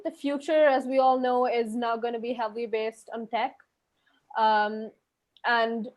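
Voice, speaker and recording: Young Indian woman presenting in a webinar. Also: fairly high pitch. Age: young adult. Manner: hesitant, many fillers. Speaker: student panelist.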